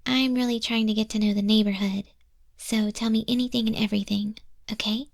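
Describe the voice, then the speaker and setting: A woman voicing a friendly goth moth monster-girl tattoo artist in an ASMR roleplay, chatting gently in a soft, breathy, often whispered voice. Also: on the high side, steady tone, fairly quick, dry recording, clean studio sound. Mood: friendly, reassuring. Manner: gentle, casual, chatty, reassuring. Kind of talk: ASMR roleplay, spoken to the listener. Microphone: close microphone.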